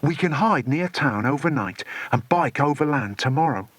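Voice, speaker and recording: A man's voice: fairly high pitch, lively intonation, moderate pace, fairly bright tone, no room sound. A man voicing a plucky schoolboy adventurer in a children's audiobook, eager and urgent. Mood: decisive.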